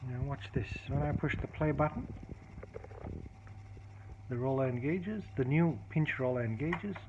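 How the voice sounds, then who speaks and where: A man casually demonstrating a tape recorder repair at his workbench, explaining step by step in a halting way, with many fillers and restarts. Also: medium pitch. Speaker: hobbyist repairer. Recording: dull, lo-fi audio with clear background noise and clicks.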